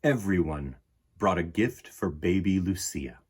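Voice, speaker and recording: A man in his forties with a slightly husky voice, reading and presenting a children's picture book in an expressive, dramatic way. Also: low voice. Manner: animated, dramatic, with deliberate pauses and emphasis. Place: small indoor room in front of bookshelves.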